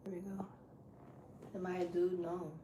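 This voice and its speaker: A woman live streamer with a deep voice, chatting casually with her viewers while she sets up a game. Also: level tone, normal speed. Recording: echoey room with loud background noise.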